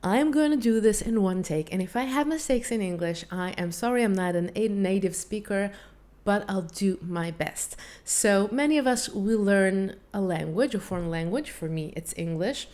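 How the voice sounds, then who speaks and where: A woman's voice: mid-range voice, expressive, moderate pace, a little mellow. A woman with a Hebrew accent, a language teacher and vocal coach, speaking English as a second language in an earnest, reflective way, with small slips. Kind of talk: personal talk to camera, one take.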